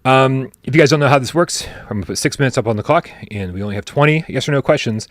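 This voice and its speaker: A man hosting a casual gaming livestream, talking in animated bursts, swearing casually and restarting his sentences. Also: mid-range voice, quick pace, no room sound, studio quality. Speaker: podcast host.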